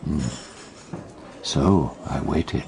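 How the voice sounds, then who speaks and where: An actor playing a shrewd, brooding British detective in a radio drama, musing in a measured way with thoughtful pauses. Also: male voice, rumbling low, mellow, full character voice. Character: shrewd, brooding male detective recounting a murder trial.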